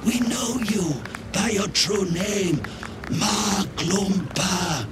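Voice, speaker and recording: An actor playing a fanatically devout fish-folk cultist in a video game, declaiming in a reverent chant. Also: male voice, sits high, extremely slow, heavy background noise. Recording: loud music behind the voice.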